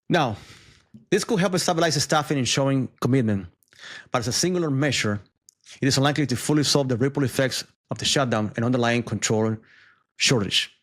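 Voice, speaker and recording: Male news commentator, mid-range voice, conversational explainer style, talks straight to the viewer with questions and asides. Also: mid-range voice. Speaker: host of a news commentary channel.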